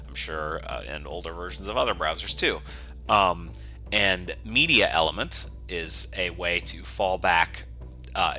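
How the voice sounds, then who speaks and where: Deep, very bright-voiced man giving a tutorial. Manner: conversational, explaining as he works. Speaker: software developer giving a coding tutorial. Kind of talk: informal step-by-step screencast tutorial.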